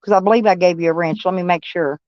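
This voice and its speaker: Live-stream host: a blunt, folksy Texas-accented woman, smooth voice, dull lo-fi audio. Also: lowish voice, steady tone, fast talker, a little mellow, dry recording. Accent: Texan. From Kentucky, USA. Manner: rambling, folksy, blunt and swearing. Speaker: livestream host. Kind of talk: casual live-stream chat with viewers.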